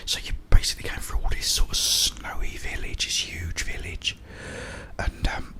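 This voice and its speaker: A man whispering a casual, unscripted ASMR ramble in a breathy voice, meandering along close to the microphone. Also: normal speed. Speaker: ASMR video host.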